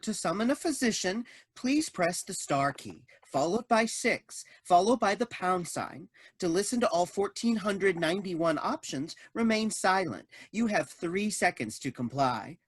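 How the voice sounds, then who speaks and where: Woman acting a husky, swooping robot medical assistant. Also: lowish voice, lively intonation, a little slow, few pauses, no echo. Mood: officious. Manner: formal automated-announcement phrasing. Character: officious menu-reciting medical AI robot assistant, blithely ominous. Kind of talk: staged reading of a comic play.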